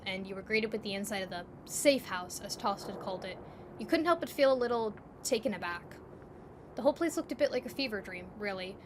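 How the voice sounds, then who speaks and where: A woman's voice: medium pitch, slight background noise. A woman narrating a scripted second-person story as the narrator.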